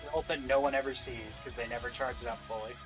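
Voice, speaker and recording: A man hosting casual reaction commentary on game trailers, with jokey, animated asides. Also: mid-range voice, fast talker, music in the background, rough recording.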